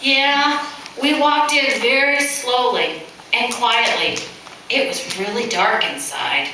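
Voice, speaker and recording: A woman playing a female follower of Jesus in an amateur puppet show, telling astonishing news deliberately. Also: fairly high pitch, bright, forceful tone, exaggerated voice. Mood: suspenseful. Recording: very echoey, distant mic, faint noise.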